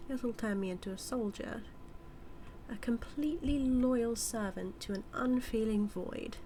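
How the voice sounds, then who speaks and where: Woman acting a slow, teasing British villainess. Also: mid-range voice, a little mellow, a little hiss or hum, natural voice. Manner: teasing, taunting, deliberate, drawn-out phrasing. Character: teasing, manipulative evil magician villainess, smugly menacing. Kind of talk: scripted villain monologue, audio roleplay.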